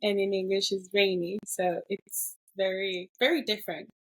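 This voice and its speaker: A woman's voice: mid-range voice, little pitch movement, moderate pace, slight background noise, poor audio. A woman podcast host, talking in a casual, searching way, often restarting her sentences. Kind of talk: casual interview, reflective intro.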